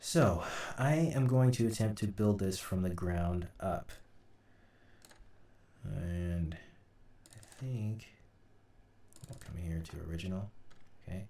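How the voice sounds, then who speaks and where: A man giving an informal screen-recorded software tutorial, thinking aloud haltingly as he works. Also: deep voice. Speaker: host, audio drama sound designer. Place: indoor room at a computer. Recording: roomy, distant mic, light noise. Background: keyboard typing and mouse clicks, faint noise.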